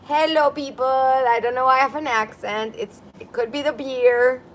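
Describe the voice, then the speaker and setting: A woman's voice: high voice, steady tone, normal speed, rough recording. A woman hosting a casual livestream on her balcony, chatty and giggly, reading comments aloud. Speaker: livestream host. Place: apartment balcony by a busy street. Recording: outdoor street noise. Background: street traffic.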